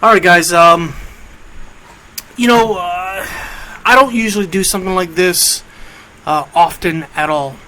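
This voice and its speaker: Bright-voiced man hosting internet radio. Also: high voice, unhurried, rough recording. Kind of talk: casual off-the-cuff radio monologue. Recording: clear background noise.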